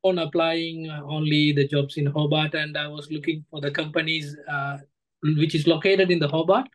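Male interview guest, thirties, Sri Lankan accent, slightly high voice, hesitant delivery over a video call. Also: little pitch movement, normal speed, rough recording. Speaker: guest, former coaching student. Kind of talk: interview answers, personal account. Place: indoor room, on a video call.

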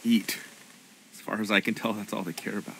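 An actor playing an earnest, bookish and anxious young male survivor in an animated video game. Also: on the low side, a little fast, creaky, a little hiss or hum, poor audio. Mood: earnest, matter-of-fact.

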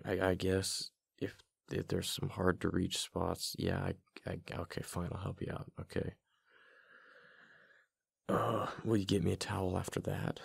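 Man playing a very gravelly, deep-voiced husband. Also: no echo, full character voice. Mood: reluctant, exasperated. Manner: halting, casual, muttering with repeated words. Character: husband, playfully exasperated, affectionate, casual. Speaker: ASMR roleplay voice actor. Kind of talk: boyfriend ASMR roleplay.

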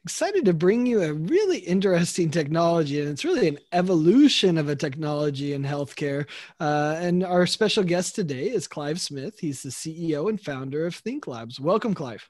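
A man's voice: on the high side, big pitch swings, moderate pace, a little mellow, no room sound, clean studio sound. A middle-aged man hosting an interview over a video call, upbeat and friendly. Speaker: host.

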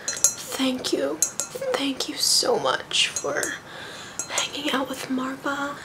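Young woman, ASMR host persona: breathy whisper, unhurried, girly and self-admiring. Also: sits high, fairly even intonation, low-quality recording. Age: young adult. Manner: breathy, slow, vain, girly intimacy. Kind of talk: whispered ASMR role-play. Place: small bedroom, indoors.

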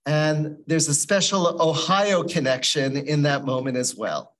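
A man's voice: a little high, a little slow, fairly bright tone, a little hiss or hum. A middle-aged man from the United States giving an informal talk in a large meeting hall, telling his story with many fillers, picked up by a distant room mic. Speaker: guest educator. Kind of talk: informal lecture.

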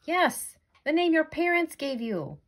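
A worrying storybook jellyfish, acted by a woman, speaks in a high voice with big swings in pitch. It sounds incredulous and exasperated, dragging its words out slowly. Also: very animated. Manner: incredulous, fretful. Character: anxious, exasperated jellyfish, the sensible worrier. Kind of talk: picture-book read-aloud character.

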